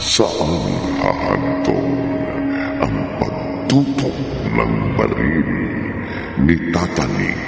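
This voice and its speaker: A man performing as a sinister horror radio drama announcer, speaking in a drawn-out, ominous, theatrical way. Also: very deep voice, wildly swooping pitch, vocal fry, full character voice. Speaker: announcer and narrator. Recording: cavernous echo with long reverb. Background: dramatic music.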